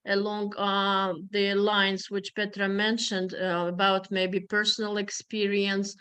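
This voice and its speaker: A woman hosts an online meeting in a mid-pitched voice. She speaks politely but halts often. The sound is from a video call. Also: completely flat delivery, measured pace, fairly bright tone, smooth. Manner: polite, hesitant with fillers. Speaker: moderator.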